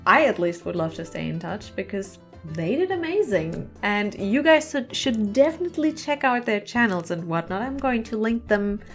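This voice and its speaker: A woman talking casually and earnestly to her viewers as a channel host, often pausing and repeating words as she finds her phrasing. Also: fairly high pitch. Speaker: comic artist and channel host. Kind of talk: casual, heartfelt chat to viewers.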